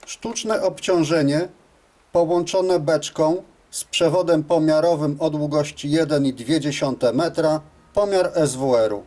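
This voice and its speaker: Slow, methodical man narrating a tutorial. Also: on the high side, dark tone. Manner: methodical, matter-of-fact. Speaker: presenter of a technical CB radio tutorial. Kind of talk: scripted instructional narration of measurements.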